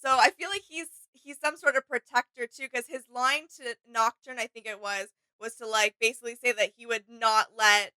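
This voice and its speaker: A woman's voice: fairly high pitch, fairly even intonation, moderate pace, few pauses, sharp tone, clean tone, no room sound, poor audio. A woman co-hosting a reaction video, chatting casually and musing tentatively about game lore. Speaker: reaction channel co-host. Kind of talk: casual chat, discussing game character lore.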